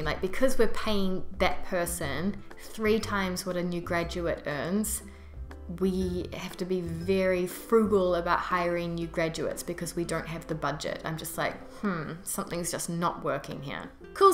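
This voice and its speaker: Casting: young New Zealand woman, chatty expat vlogger, emphatic delivery, roomy sound, music underneath. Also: fairly low pitch. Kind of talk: casual to-camera vlog chat. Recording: roomy echo with music behind. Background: background music.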